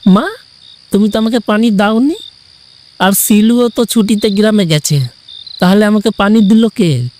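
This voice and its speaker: A man's voice: high-pitched, measured pace, pauses often, a little mellow, a little hiss or hum. A man playing an irritable, curt young man in an audio story, scolding and exasperated. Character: irritable young man pestered by his lovestruck cousin. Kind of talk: Bengali audio story acting.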